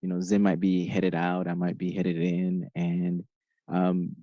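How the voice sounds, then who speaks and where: A man in his thirties from Honduras telling his story casually as a podcast guest, often pausing to search for words. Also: low voice, monotone, no echo, dull audio.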